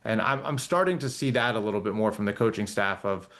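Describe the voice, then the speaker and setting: A man's voice: lowish voice, quick pace, a bit bright. A man, a soccer reporter joining a talk show as a guest, speaking in a thoughtful, rambling way with frequent restarts and fillers. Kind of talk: casual talk show chat.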